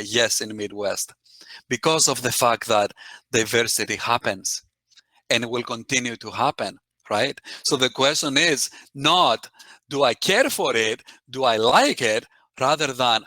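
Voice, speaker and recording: A man from Greece, giving an earnest, animated online keynote and often checking in with listeners. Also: mid-range voice, no room sound. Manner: earnest and animated, often tags "right?" and addresses listeners by name. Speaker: keynote speaker. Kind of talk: interactive online keynote talk.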